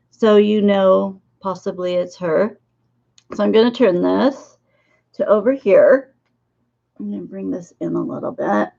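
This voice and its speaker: A woman in her fifties, hoarse and losing her voice, talking haltingly about her dream visions as a psychic in a casual monologue to camera. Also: fairly low pitch, a little mellow, dull audio. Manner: halting, with many filler pauses.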